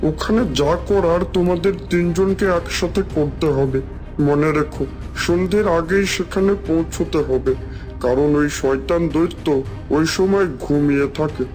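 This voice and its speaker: A man's voice: on the high side, normal speed, a little mellow, lightly acted. A man voicing a wise, patient magical tree that instructs and cautions in a cartoon fairy tale. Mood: instructing. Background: background music.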